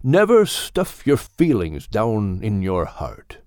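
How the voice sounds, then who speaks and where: A man voicing a wise, gentle older male animal mentor in an audiobook, speaking in a measured, firm but kindly way. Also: on the low side, wildly swooping pitch, clear, resonant tone, no echo, studio quality, heavily acted. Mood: tender, counseling. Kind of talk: audiobook character voice.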